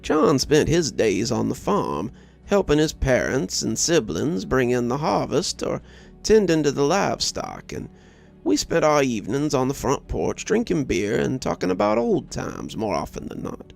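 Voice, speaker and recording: Male story narrator, reflective and measured, with a creaky edge over light music. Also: medium pitch, sing-song. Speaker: narrator reading a horror story. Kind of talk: scripted first-person story narration.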